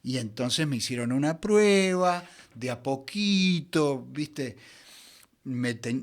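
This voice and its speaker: A middle-aged Argentine man from Buenos Aires telling anecdotes conversationally as an interview guest, in an expressive, theatrical way. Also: mid-range voice. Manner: expressive storyteller, acts out quoted dialogue. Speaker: interview guest, veteran voice actor.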